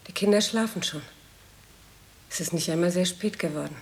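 An actress playing a nervous, apologetic wife in intimate radio-drama dialogue, hesitant and anxious. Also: female voice, lowish voice, normal speed, natural voice. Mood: subdued.

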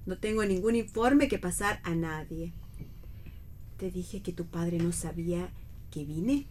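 A woman's voice: lowish voice, measured pace, darkish tone, slight background noise, close to an everyday voice. Earnest, polite middle-aged mother played by an actress. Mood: resigned, earnest. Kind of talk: read theatre for radio.

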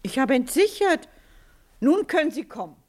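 A woman's voice: high voice, big pitch swings, normal speed, a little mellow. An actress playing a stern, commanding older woman with a dry, sardonic manner in a German radio play. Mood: commanding, defiant. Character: stern, imperious old spinster defending her house.

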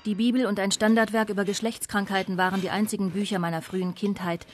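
A woman's voice: medium pitch, flat delivery, fast, loud music behind the voice, natural voice. An actress playing a disillusioned woman of twenty-nine, narrating her life soberly in a radio drama. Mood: bitter, reflective. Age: young adult. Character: disillusioned 29-year-old daughter of a prostitute, coolly confessional.

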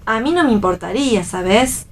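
A woman's voice: fairly high pitch, animated, moderate pace. An Argentine actress playing a playful, teasing, defiant young wife and mother in a radio play reading. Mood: tired, playful.

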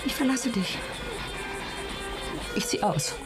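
An actress playing a desperate, trapped wife, pleading and stammering in melodramatic radio-play style. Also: female voice, on the high side, animated, slow. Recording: loud music behind the voice.

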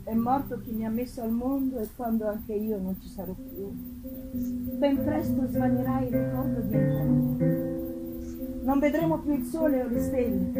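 Woman doing a grieving gothic monster, solemn and slow-spoken, fairly high voice, loud guitar behind. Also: natural voice, low-quality recording. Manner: grave, deliberate, mournful. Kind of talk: solemn dramatic literary reading. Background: live plucked guitar music.

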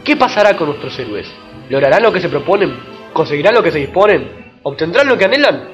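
A man's voice: fairly high pitch, big pitch swings, a little fast, muffled recording, faint music behind. A man reading scripted mock-romantic narration in a florid, melodramatic way.

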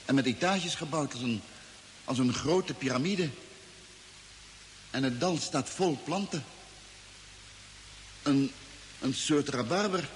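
An actor playing a lost, bewildered space engineer in a radio drama, anxious, earnest and insistent, hesitating and repeating himself. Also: male voice, mid-range voice, slow pace, long pauses, lightly acted. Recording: hissy old radio recording with long reverb.

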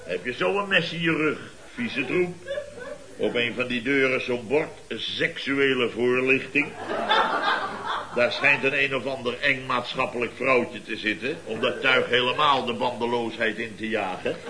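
An actor playing a blustering, indignant middle-aged boss of a building firm in a radio comedy, exclaiming and repeating himself. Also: male voice, medium pitch, a little slow, noisy background, natural voice.

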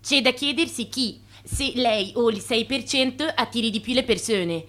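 A female actor plays a secretary in a radio drama. Her voice is fairly high, and she comes across as cool and dryly knowing, every bit the gatekeeper. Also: moderate pace, fairly bright tone, natural voice. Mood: dry, teasing.

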